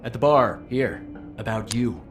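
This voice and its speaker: Man acting mouthy smug gossip, sarcastic, goading, very animated pitch, faint music, game character. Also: natural voice. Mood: mocking, smug. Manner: sarcastic, goading, drawn-out emphasis. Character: cocky, mouthy male troublemaker and gossip.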